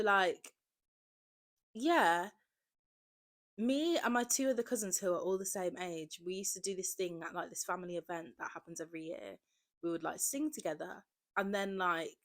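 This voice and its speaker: A woman, talking candidly in a casual confessional monologue, often saying "like". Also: medium pitch. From Birmingham area, England. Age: 20s. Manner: candid, conversational, heavy use of "like". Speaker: podcast host.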